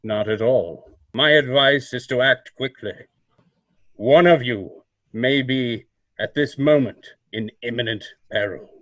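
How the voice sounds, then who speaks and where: An actor playing a mysterious, self-assured vigilante in an old-time radio mystery, speaking in a formal, portentous, deliberate way. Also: male voice, medium pitch, a bit bright, no echo, natural voice. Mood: grave.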